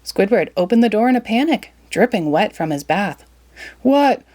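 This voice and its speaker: A woman's voice: medium pitch, brisk. Woman reading a storybook aloud. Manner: animated and expressive. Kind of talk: read-aloud storybook narration.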